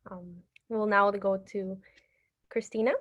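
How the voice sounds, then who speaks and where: A woman's voice: medium pitch, fairly even intonation, slow, fairly bright tone, dull audio. A woman moderating an online panel in a polite, brief way.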